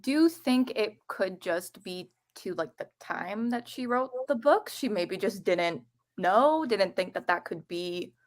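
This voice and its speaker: A woman's voice: mid-range voice, animated, takes it slowly. A woman co-hosting a casual book chat, reasoning aloud in an informal, tentative way. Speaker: co-host of a book readalong live show.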